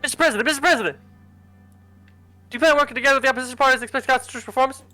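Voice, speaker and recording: A man's voice: very high-pitched, rapid-fire, sharp tone, faint music behind, pitched above the performer's own voice. A man voicing a pushy female journalist in a game, firing insistent questions. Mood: pushy, urgent.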